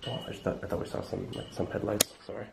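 A man's voice: on the low side, little pitch movement, fast, vocal fry. A young man, chatting casually and cautiously as he explores a building on camera, often asking questions. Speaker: urban explorer filming a video. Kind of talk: casual hushed exploring chat. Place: indoors, abandoned school building at night. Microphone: handheld camera mic. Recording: noisy handheld camera audio, clicks.

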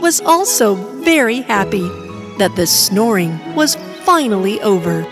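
A woman narrating a children's bedtime story in an expressive storytelling manner. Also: mid-range voice, unhurried. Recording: music bed behind.

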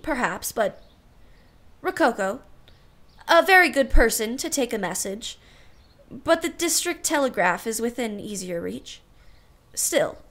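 A woman's voice: high-pitched, fairly bright tone. A woman playing a wry, genteel, romantically wavering aunt in a radio play, musing in an old-fashioned way.

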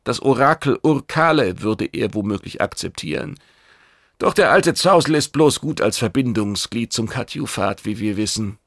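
A man's voice: medium pitch, animated, moderate pace, gravelly, dry recording, studio quality. A man voicing a brash, domineering alien warship commander in a science fiction audiobook, blunt and commanding. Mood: curt, dismissive.

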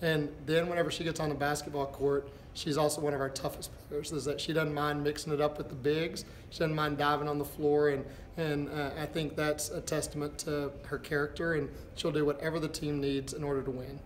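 A middle-aged man, a basketball head coach, answering postgame press questions in a halting, thinking-aloud way. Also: a little high, little pitch movement. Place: indoors before a backdrop, a step from camera. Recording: echoey room with background noise.

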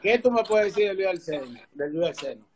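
A man's voice: sits high, expressive, a little fast, rough recording. A middle-aged man with a Dominican accent hosting a casual sports interview over a video call, with informal, slangy talk. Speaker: interviewer, show host. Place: indoor room, remote video call. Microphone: video call, device at arm's length.

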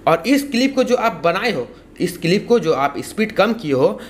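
A man's voice: sits high, big pitch swings, normal speed, slight background noise. A young man giving a casual, repetitive step-by-step tutorial. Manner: repetitive, explanatory. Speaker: tutorial host.

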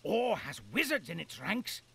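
A man's voice: high voice, very animated, measured pace, gravelly, a bit bright, a little hiss or hum, full character voice. An actor playing a pompous old male gnome commander in a game, blustery and theatrical.

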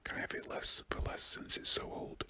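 A man's voice: moderate pace, bright, forceful tone. A man voicing a stubborn, eager young boy from a storybook in a casual whisper.

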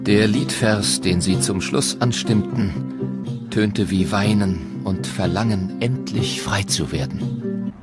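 A man's voice: fairly low pitch, takes it slowly, over loud music. A man playing a grave, sorrowful nineteenth-century school inspector, reciting solemnly in a historical audio documentary.

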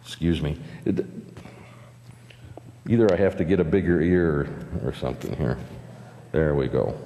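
A man's voice: rumbling low, moderate pace, warm tone, a little hiss or hum. A man in his fifties with an Upper Midwest American accent, preaching a conversational sermon from a pulpit mic and asking the congregation questions. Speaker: pastor. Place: church sanctuary, at a pulpit. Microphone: pulpit microphone. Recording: church hall reverb.

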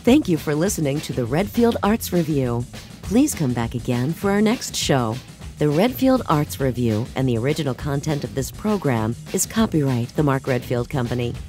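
A man's voice: mid-range voice, very animated, normal speed, a little mellow, faint music behind. A man reading a scripted show outro as an announcer.

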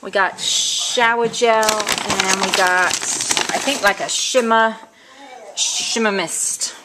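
A woman's voice: medium pitch, steady tone, slow pace. A woman in a casual vlog chatting through her shopping haul at home, listing items, coupons and prices. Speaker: vlogger showing a shopping haul. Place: home, indoors. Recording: noisy home video with bag crinkling. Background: crinkling bags and clicks.

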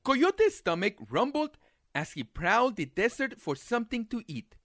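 A man reading a children's picture book aloud as a storyteller, with dramatic, deliberate phrasing. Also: very high-pitched, very animated, fairly bright tone, no echo, clean studio sound.

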